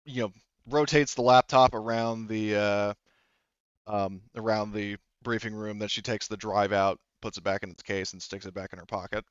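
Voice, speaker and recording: A man's voice: lowish voice, steady tone, moderate pace, clean tone, dry recording, dull audio. A man running a tabletop game as game master, explaining things casually in a hesitant, rambling way.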